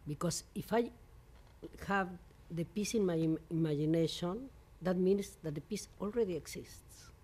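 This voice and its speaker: A woman from Mexico speaking English with a Mexican Spanish accent as a non-native speaker, giving an interview as a composer in halting English full of fillers and restarts. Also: lowish voice, a little mellow, slightly rough. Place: venue in New York.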